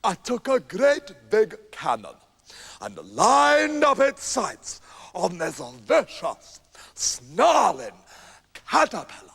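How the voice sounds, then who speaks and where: Man doing a bragging bumbling hunter, blustering, storybook voice, husky. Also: sits high, huge pitch swings, slow, fairly bright tone, long reverb tail, heavily acted. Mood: boastful. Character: boastful bumbling male hunter.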